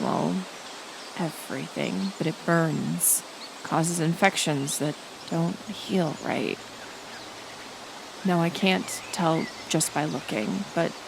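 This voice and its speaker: A woman playing a kind, stubborn healer who lives in the woods, speaking haltingly and earnestly to the listener in a roleplay audio drama. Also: deep voice, creaky, exaggerated voice. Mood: worried, focused. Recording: outdoor nature ambience, insect noise. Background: forest ambience with insects.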